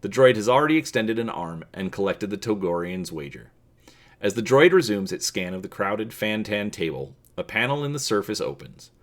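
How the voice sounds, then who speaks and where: A man reading a story aloud as a narrator, in an expressive, theatrical manner. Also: lowish voice, moderate pace. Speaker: reader and channel host.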